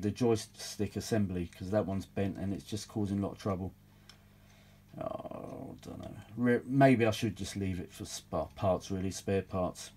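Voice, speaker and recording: A man's voice: on the low side, dark tone. A British man chatting casually and ramblingly as he works on his hobby, in a grumbling, world-weary, mildly sweary manner. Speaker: vintage audio repair hobbyist presenting his own videos. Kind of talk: casual rambling workbench chat.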